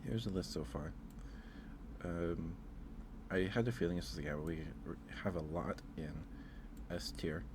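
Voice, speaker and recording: A man's voice: deep voice, moderate pace, pauses often, background noise. A man chatting casually on an ASMR livestream, rambling hesitantly and repeating his phrases, close to the microphone.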